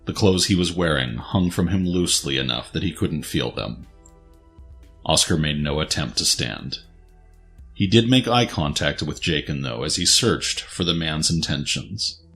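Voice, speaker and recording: A man's voice: extremely low pitch, lively intonation, moderate pace, gravelly, fairly bright tone, soft background music. A man narrating a scripted dramatic story as the narrator of an audio drama.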